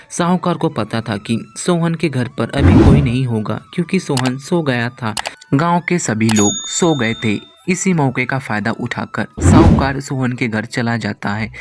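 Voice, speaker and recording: A man narrating a scripted children's moral story as the storyteller. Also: medium pitch.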